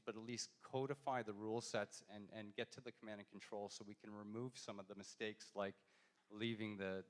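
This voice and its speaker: A man answering questions on a panel at a university event, hesitant, with frequent ums and restarts. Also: on the low side. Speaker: industry panelist. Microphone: handheld mic, passed around.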